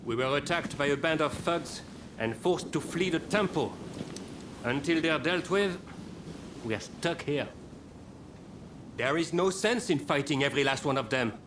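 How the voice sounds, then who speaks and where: An actor playing a resolute, protective French officer in a video game, speaking firmly and formally. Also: male voice, animated, a little slow, pauses often. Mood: determined. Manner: formal, firm. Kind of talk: video game cutscene acting. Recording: clear rumbling background noise.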